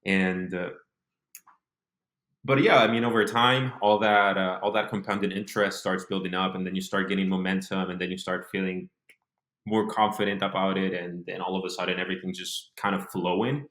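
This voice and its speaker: A man's voice: deep voice, level tone, moderate pace, fairly bright tone, reverberant. A man in his mid-twenties from Honduras talking casually as an interview guest, telling his story reflectively in short phrases. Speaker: interview guest, business owner.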